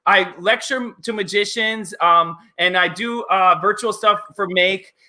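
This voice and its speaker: A man with a high, bright, forceful voice talks casually as an interview guest. He is an excitable enthusiast who rambles along and leans hard on his words. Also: measured pace. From United States, child of Italian immigrants. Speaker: interview guest, maker magician.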